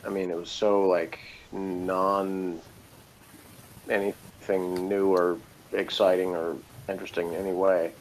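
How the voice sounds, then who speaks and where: A man's voice: low-pitched, level tone, a little slow, poor audio. A man co-hosting a casual, opinionated episode review in a rambling, loosely phrased way, with faint noise behind him. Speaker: review show co-host.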